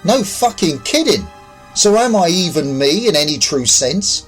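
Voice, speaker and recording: Male narrator as agitated survivor, disoriented, accusing, fairly high pitch, swinging intonation, sci-fi audiobook dialogue. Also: very animated, unhurried, fairly bright tone, faint music behind. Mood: outraged. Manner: accusing, questioning, swearing. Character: agitated, disoriented man revived in devastated world.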